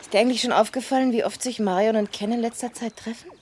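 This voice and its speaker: An actress playing a lively, independent young businesswoman in a German radio play, chatty and playful. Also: on the high side, fast talker, slight background noise, natural voice. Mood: playful, relaxed. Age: young adult. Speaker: actress in a radio crime drama. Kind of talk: German radio play acting, conversational dialogue.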